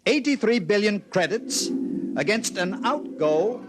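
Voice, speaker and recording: An actor playing a pompous, chummy outgoing overlord in a 1950s radio drama, with a speechmaker's manner. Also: male voice, sits high, lively intonation, unhurried, over loud music. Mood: pompous, genial.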